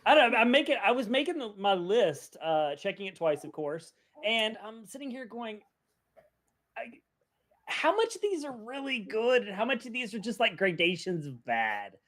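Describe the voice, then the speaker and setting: A man's voice: high voice, big pitch swings, moderate pace, a bit bright. A man on a podcast panel, chatting casually and thinking aloud in a rambling way. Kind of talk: casual chat.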